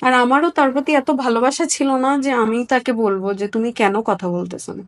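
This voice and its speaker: A young woman with a Bangladeshi accent giving a candid personal account in an interview, speaking earnestly and haltingly, with emphatic repetition. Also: fairly high pitch, steady tone. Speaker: interview guest, nurse.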